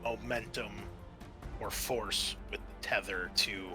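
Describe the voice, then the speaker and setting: A man with a bright, clear-cut voice runs a tabletop roleplaying game. He describes scenes and explains rulings, pausing as he works them out. Music plays softly behind him. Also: lowish voice, fairly even intonation. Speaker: game master.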